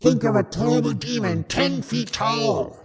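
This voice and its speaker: A man voicing a menacing amoeba creature in an old-time radio horror play, slow and commanding. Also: extremely high pitch, slightly rough, full character voice. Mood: gloating menace.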